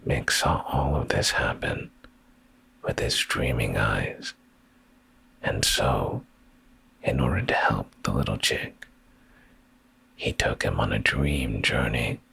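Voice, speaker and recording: A man softly reading a bedtime story in ASMR style, in a deep, gravelly, breathy voice that often slips into a whisper, drowsy and soothing, close to the microphone. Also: animated, takes it slowly, pauses often, a little hiss or hum, rough recording.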